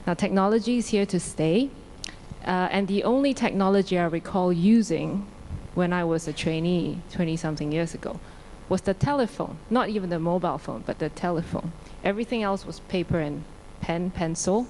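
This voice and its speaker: A woman in her forties from Singapore speaks as a lawyer on a conference panel, holding a handheld mic. Her voice sits low and mellow, and she talks in a measured way with frequent small fillers. Faint room noise is in the background. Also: poor audio. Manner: warm, measured, with many fillers. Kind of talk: panel discussion. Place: large conference stage.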